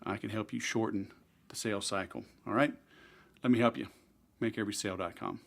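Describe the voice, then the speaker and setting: A man's voice: on the low side, brisk, vocal fry. A man with a Texas accent hosting a sales podcast, talking in a casual, salesy, direct way. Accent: Texan. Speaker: podcast host. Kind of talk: podcast interview and self-promotion.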